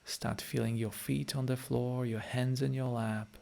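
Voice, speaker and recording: A man's voice: mid-range voice, little pitch movement, measured pace, creaky, a little mellow. A man with a Polish accent, a meditation teacher leading a guided meditation and a live-stream chat, speaking to listeners in short, direct phrases.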